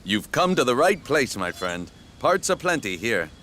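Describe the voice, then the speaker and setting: A man's voice: mid-range voice, sing-song, moderate pace, a bit bright, slight background noise. A man voicing a friendly, reassuring workshop engine in a children's cartoon, talking genially. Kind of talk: children's cartoon character acting.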